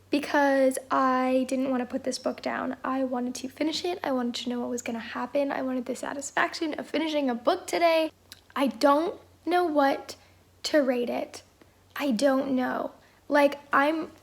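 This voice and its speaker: Home vlogger, thirties woman, hoarse from a cold, high voice, hesitant and rambling. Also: steady tone, a little slow. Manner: rambling and hesitant, many fillers. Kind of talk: casual chat.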